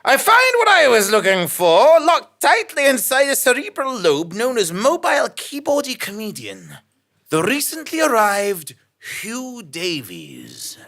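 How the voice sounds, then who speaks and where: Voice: mid-range voice, huge pitch swings, unhurried, a bit bright. An actor playing a gleeful mind-reading villain who narrates in a theatrical, relishing way for a live audio drama. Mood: gleeful, menacing.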